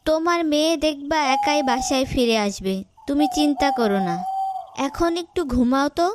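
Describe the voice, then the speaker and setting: A woman's voice: high-pitched, normal speed, heavy background noise, close to an everyday voice. A woman voicing a cruel, scolding fox stepmother in a cartoon. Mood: feigning innocence.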